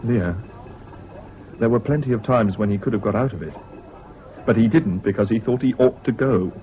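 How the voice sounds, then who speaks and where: An actor playing a sober British army major who also narrates a 1970s radio drama, frank and dry in manner. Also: male voice, lowish voice, normal speed, natural voice. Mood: reproachful. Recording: dull, noisy old radio recording.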